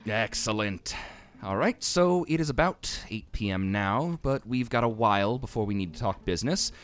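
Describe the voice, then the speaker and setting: A man's voice: lowish voice, expressive, moderate pace, soft background music, lightly acted. A man playing a secretive, dry, affable innkeeper in a fantasy audio drama, with a hesitant, wry manner. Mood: dry, businesslike. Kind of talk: fantasy podcast acting.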